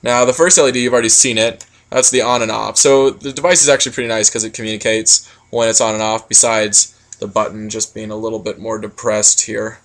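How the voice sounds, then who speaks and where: A man's voice: on the low side, level tone, a little fast, hardly any pauses, fairly bright tone. A man giving a casual product critique, explaining things in a rambling, matter-of-fact way. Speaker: product reviewer.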